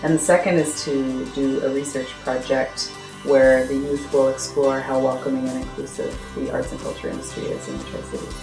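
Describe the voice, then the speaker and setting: A woman's voice: extremely low pitch, darkish tone. A woman speaking earnestly and emphatically as a project coordinator in an interview. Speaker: youth arts project coordinator. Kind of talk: interview to camera. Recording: roomy, faint music behind. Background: music.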